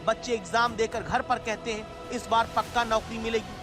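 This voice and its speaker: A man's voice: very high voice, steady tone, moderate pace. A man presenting scripted TV news narration in a dramatic, rhetorical way. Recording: loud music bed under voice. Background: music.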